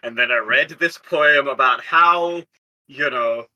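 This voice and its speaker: A man's voice: medium pitch, slow, dull audio. A man chatting casually as a tabletop game player, with a wry, exasperated manner and some swearing. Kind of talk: casual tabletop roleplay chat.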